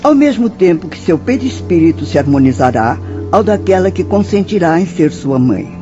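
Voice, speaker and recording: Radio drama spirit nun: gentle, formal teacher, widely varied intonation, muffled recording. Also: female voice, lowish voice, very animated. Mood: solemn instruction. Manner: measured, formal, didactic. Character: gentle, solemn spirit nun instructing apprentices. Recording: dull, lo-fi radio drama audio. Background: soft music.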